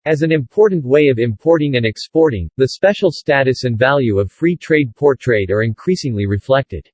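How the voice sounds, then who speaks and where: A man's voice: medium pitch, expressive, moderate pace, darkish tone, no echo. A man reading a scripted practice passage aloud.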